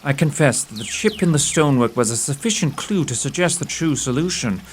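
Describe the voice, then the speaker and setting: A man's voice: on the high side, expressive, brisk, a little hiss or hum, close to an everyday voice. Man acting a precise, self-assured detective. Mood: self-reproachful. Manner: precise, formal, old-fashioned address. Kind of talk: audio theatre acting.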